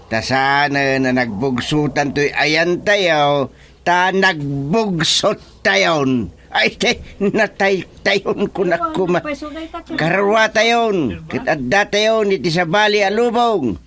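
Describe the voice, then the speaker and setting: A man playing a ponderous chairman of departed souls in a radio comedy drama, with a deliberate, presiding manner. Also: high voice, lively intonation, a crowd in the background, heavily acted.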